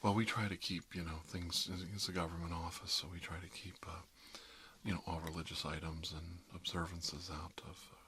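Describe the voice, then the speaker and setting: A man's voice: deep voice, little pitch movement, normal speed, pushed and bright, a little hiss or hum. A man playing a chatty, friendly dentist in an improvised ASMR roleplay, talking in a hesitant, rambling way that drops into half-whispers. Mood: chatty, musing. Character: chatty, friendly emergency dentist treating monsters, mildly bigoted. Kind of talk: ASMR roleplay, improvised one-sided conversation.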